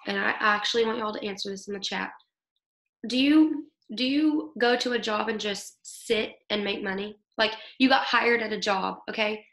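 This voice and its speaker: A young woman with a hoarse voice from illness, leading her team in an upbeat motivational talk over a video call, often checking in with "okay?". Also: medium pitch, moderate pace, a bit bright. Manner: upbeat, coaching, keeps asking "okay?". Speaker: team leader. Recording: echoey room.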